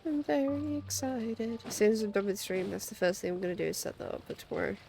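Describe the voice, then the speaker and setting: A woman's voice: medium pitch, expressive, fast talker, gravelly, a little mellow, a little hiss or hum. A woman hosting a game livestream, chatting casually and commentating as she plays. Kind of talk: casual gameplay commentary, reading game text aloud. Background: game music behind the voice.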